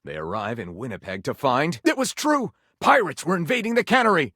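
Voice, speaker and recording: Game storyteller: pulpy detective-tale narrator, arch and melodramatic, highly animated pitch, dry close recording. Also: male voice, brisk, no room sound, full character voice. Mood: arch, dramatic. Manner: melodramatic, arch, tongue-in-cheek. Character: theatrical storyteller narrating pulpy detective adventures with mock gravity. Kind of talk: dramatic scripted story narration.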